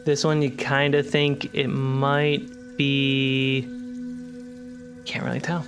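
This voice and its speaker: A man's voice: medium pitch, monotone, takes it slowly. A man running a tabletop roleplaying game as game master, narrating scenes and answering players. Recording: music and rain sound effects behind. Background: atmospheric music, rain.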